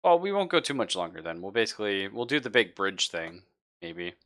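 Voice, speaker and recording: A man's voice: fairly low pitch, big pitch swings, brisk, fairly bright tone. A man running a tabletop game as game master in casual, easygoing chat. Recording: live online game stream.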